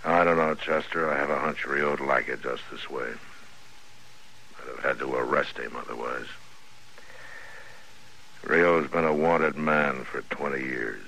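An actor playing a stoic, world-weary American frontier marshal in a 1950s radio western, terse, steady and understated. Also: male voice, rumbling low, unhurried, creaky, long pauses, heavily acted, poor audio. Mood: somber. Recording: old radio recording with faint noise.

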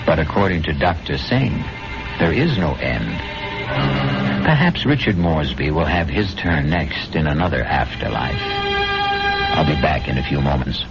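Man, deep voice, theatrical radio host, ominous, measured, dull vintage broadcast, loud music behind. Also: animated. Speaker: host of radio mystery series. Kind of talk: theatrical 1970s radio drama narration.

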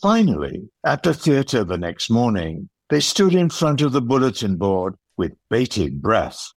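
Slow, expressive male storyteller. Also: mid-range voice, no room sound, clean studio sound. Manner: measured, storytelling. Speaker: narrator. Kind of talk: scripted narration of an audio play.

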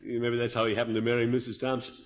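An actor playing a pompous, fussy but good-natured middle-aged uncle in a 1950s radio comedy, blustery and chuckling. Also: male voice, medium pitch, completely flat delivery, racing, lightly acted. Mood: fussy, genial. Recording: muffled old radio broadcast.

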